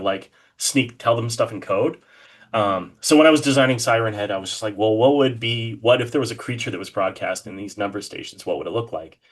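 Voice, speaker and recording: A man with a fairly low voice speaks as the guest in a casual interview. He rambles with enthusiasm, often hesitating mid-thought before carrying on. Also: a bit bright. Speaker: guest, horror artist being interviewed.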